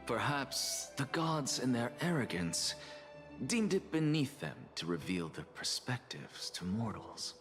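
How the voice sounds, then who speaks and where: Haughty, swooping game scholar. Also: male voice, mid-range voice, sing-song, measured pace, over music. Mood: dryly arrogant. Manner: haughty, eloquent, theatrical, formal. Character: arrogant scholar envoy, a man, coolly theatrical and philosophical. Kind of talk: dramatic video game voice acting.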